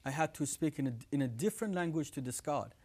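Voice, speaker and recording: A man's voice: fairly high pitch, animated, fast, dark tone. A man in his thirties from Iran with an Iranian accent, earnestly telling his story as a guest in a TV studio on a lapel mic. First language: Farsi. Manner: earnest, gestures with hands while talking. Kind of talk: testimony in a studio interview. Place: TV studio set with living room decor.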